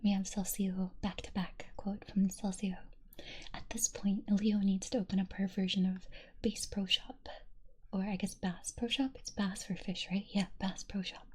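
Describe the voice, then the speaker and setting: A young woman streamer whispering softly and breathily as she reads chat quotes, giggling often between them. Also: medium pitch, flat delivery, moderate pace, husky.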